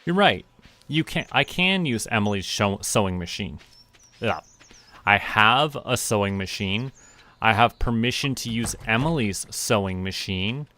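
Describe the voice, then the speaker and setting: A man from Canada streaming a farming game, talking to himself in a relaxed, muttering way as he plays. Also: on the low side, a little slow. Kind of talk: casual gameplay commentary. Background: game music.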